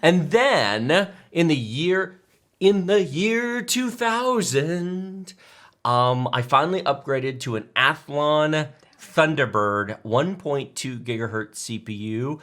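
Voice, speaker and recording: A man in his forties co-hosting a tech live stream, telling stories casually in an animated, emphatic, enthusiastic way. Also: on the high side, takes it slowly. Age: 40s. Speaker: tech show co-host.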